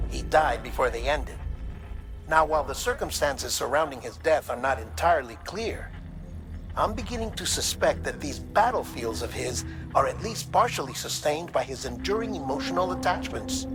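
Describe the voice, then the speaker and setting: An actor playing an earnest, troubled investigator in a video game, delivering a briefing in a measured, grave and deliberate way. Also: male voice, on the high side, close to an everyday voice. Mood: grave, thoughtful. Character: earnest, troubled male investigator reporting findings to a courier. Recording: dramatic music behind the voice.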